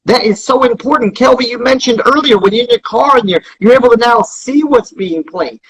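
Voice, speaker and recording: A man with a high, husky voice gives promotional interview talk as a guest. He speaks emphatically and circles back to repeat his key phrases. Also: brisk, a bit bright, low-quality recording. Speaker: interview guest, music business founder.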